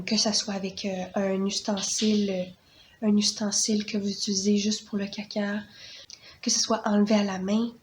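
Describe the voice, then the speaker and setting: A Québécois woman with a mid-range voice and a cold, explaining things slowly and haltingly. She fills her pauses with "euh" and restarts her sentences. A faint hum of background noise sits under her voice. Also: medium pitch. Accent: Québécois French. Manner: hesitant, many fillers and restarts. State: stuffy from a cold. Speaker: parenting vlogger. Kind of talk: casual how-to vlog.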